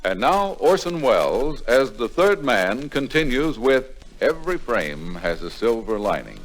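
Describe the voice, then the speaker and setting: A man announcing an old-time radio show in a formal, deliberate scripted style. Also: mid-range voice, sing-song, low-quality recording. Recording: old radio recording under loud music. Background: loud music.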